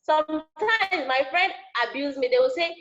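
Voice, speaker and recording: High-voiced Nigerian woman, lo-fi call. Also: normal speed. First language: Yoruba. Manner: animated, repeats herself for emphasis. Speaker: guest speaker, teacher. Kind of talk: casual explaining to a class. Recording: dull lo-fi video call audio.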